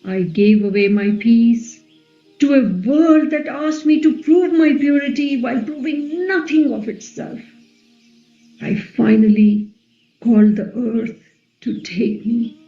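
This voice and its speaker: A serene but wounded queen of ancient epic , played by a woman in a play reading. Her fairly high voice is extremely mellow, and she speaks deliberately with quiet defiance in an echoey recording. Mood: weary defiance. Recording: echoey, slightly dull, music underneath.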